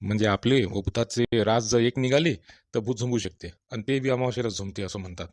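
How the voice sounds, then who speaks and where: A man voicing a calm, knowing old village farmer with a rural Vidarbha Marathi accent in cartoon storytelling. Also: medium pitch, brisk, no echo, clean studio sound, natural voice. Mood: explaining.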